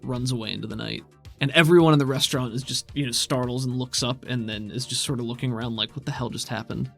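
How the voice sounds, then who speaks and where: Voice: mid-range voice, fairly even intonation, quick pace, creaky, a bit bright, soft background music. A game master and podcast host, improvising tabletop narration and chatting casually.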